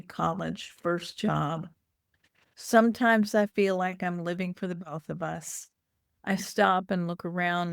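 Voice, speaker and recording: A woman reading a first-person literary short story aloud in a measured, deliberate way. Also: on the low side, smooth, no room sound, clean studio sound.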